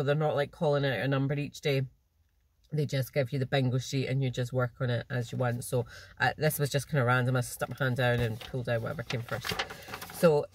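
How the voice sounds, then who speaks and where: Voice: medium pitch, slight background noise. A cross-stitch vlogger with a naturally nasal voice and a slightly blocked nose, chatting casually and rambling to the camera from a parked car. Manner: chatty, rambling, with false starts and small laughs.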